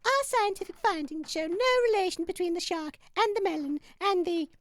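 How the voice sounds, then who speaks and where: A woman performs a prim, argumentative scientist in a comedy audio debate. Her voice is extremely high, and the recording is close and dry. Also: moderate pace, no room sound. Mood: dismissive, combative. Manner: dismissive, combative. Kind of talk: comedy audio sketch acting.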